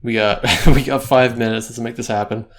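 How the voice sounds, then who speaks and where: A man's voice: mid-range voice, steady tone, a little fast. A man co-hosting a podcast in casual chat, teasing and cracking jokes with frequent laughs. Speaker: podcast co-host.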